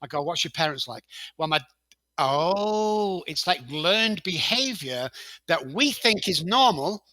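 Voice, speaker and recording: A man's voice: a little high, expressive, sharp tone, no echo. A man with a Manchester accent, a podcast guest talking emphatically about addiction recovery and acting out short exchanges. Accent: Manchester English. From England. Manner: emphatic storyteller, acts out quick dialogue. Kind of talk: podcast interview.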